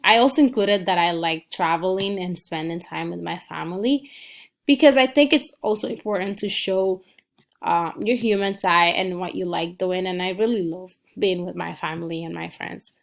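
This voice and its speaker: A woman's voice: on the low side, normal speed, gravelly. Young woman narrating a casual screencast. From Dominican Republic. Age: 20s. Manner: casual and earnest, with frequent ums and small restarts. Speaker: student. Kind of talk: screencast walkthrough of a personal website.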